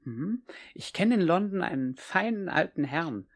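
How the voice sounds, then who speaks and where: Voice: mid-range voice, animated, unhurried. An actor playing a cocky, friendly young male vagabond in a 1950s German radio play, talking in a casual, breezy way. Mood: breezy, generous.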